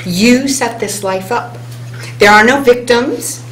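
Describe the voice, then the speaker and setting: A woman's voice: on the high side, a bit bright. Earnest woman reading on a conference panel. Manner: earnest, inspirational, speeds up and slows for emphasis. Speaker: hypnosis panelist. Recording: roomy hall sound, faint hum.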